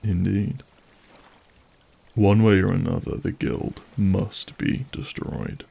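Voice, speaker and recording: Casting: male actor as courtly, ruthless vampire lord for audio drama; heavy gravel, dark husky tone, veiled threat. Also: sits low, muffled recording, exaggerated voice. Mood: grave, resolute. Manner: formal, silky, measured politeness with veiled threat. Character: suave, menacing vampire brood leader, courtly and ruthless.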